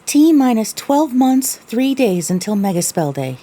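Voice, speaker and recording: A woman's voice: on the high side, measured pace. A woman playing a young female pony, a weary, sarcastic wasteland survivor narrating her own story expressively in an audio drama. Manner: expressive, theatrical. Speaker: narrator and lead character.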